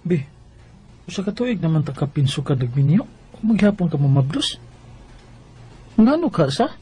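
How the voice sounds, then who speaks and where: An actor with a Filipino Cebuano accent playing an eager, insistent young sweetheart in a radio drama, with a husky voice. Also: male voice, fairly high pitch, very animated, moderate pace, dark tone. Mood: questioning. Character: eager, insistent teenage boyfriend turned husband.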